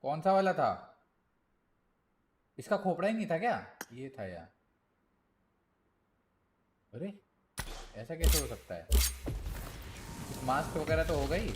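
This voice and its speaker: A man streams a game with an ordinary mid-pitched voice and slangy, casual chat. He reacts excitably and talks to himself as he browses. Clear noise and clicking sit behind him. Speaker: gaming streamer. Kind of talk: casual livestream chat with viewers.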